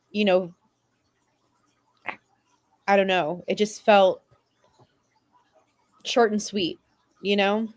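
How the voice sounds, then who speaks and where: A woman giving casual, rambling opinions as a panelist, in an informal way full of filler words. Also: on the low side. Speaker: fan panelist.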